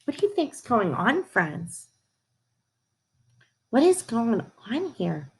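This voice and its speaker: A woman reading a storybook aloud to young listeners in an expressive, direct way, as a read-aloud host. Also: on the high side, takes it slowly, a little hiss or hum.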